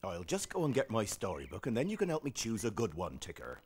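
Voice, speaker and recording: A man voicing a big, sleepy, patient hippo father in a children's television show, with a husky, gravelly voice. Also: fairly low pitch, huge pitch swings, fast talker, a little mellow, exaggerated voice. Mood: gentle, obliging. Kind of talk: children's television character acting.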